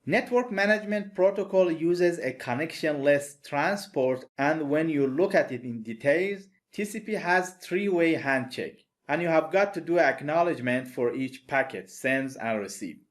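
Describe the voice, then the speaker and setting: A man with a non-native English accent delivers a recorded technical lecture. His voice sits somewhat high for a man. He talks the listener through slides in a plain, methodical way. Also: animated, moderate pace, few pauses. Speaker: networking instructor.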